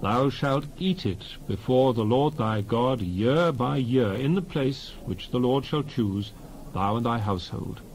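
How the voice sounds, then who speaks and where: A man giving a solemn, declamatory dramatized reading of scripture in measured phrases. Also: mid-range voice, expressive, darkish tone, slight background noise, low-quality recording. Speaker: Bible reader.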